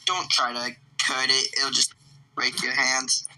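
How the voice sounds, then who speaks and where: An excitable, playful person improvising in a casual in-game roleplay chat. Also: mid-range voice, a little slow, distant mic, low-quality recording.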